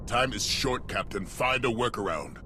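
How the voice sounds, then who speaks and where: An actor playing a stern, resolute supreme military commander in a video game, grave and authoritative in delivery. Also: male voice, mid-range voice, moderate pace, background noise, natural voice. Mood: urgent. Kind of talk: video game cutscene acting.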